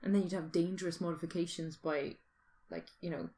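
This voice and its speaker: A woman's voice: on the low side, steady tone, a little fast, mellow, slight background noise. A British woman in casual conversation, musing informally and thinking aloud as a podcast host. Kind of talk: casual speculative chat.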